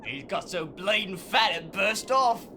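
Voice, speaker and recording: A woman's voice: mid-range voice, expressive, measured pace, background noise, close to an everyday voice. A woman playing a practical, wry Victorian London urchin child in an audio drama.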